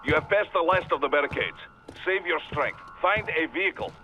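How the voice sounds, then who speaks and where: A man playing a businesslike mission support adviser in a video game, brisk and instructive. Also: on the high side, big pitch swings, dull audio, soft background music. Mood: urgent, businesslike.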